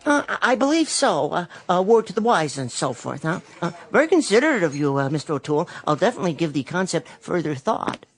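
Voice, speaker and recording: A man's voice: a little high, sing-song, quick pace, few pauses. An actor playing a timid, over-polite little man in a radio drama, stammering and fussing as he speaks. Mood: meek, polite. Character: timid, meek, polite little vacuum-salesman, easily bullied.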